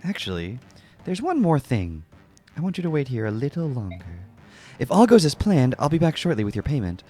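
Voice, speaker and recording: A man's voice: mid-range voice, big pitch swings, a little fast, warm tone, faint music behind, pitched above the performer's own voice. A man voicing a composed, guarded noblewoman spymaster in a video game, formal and coolly defiant.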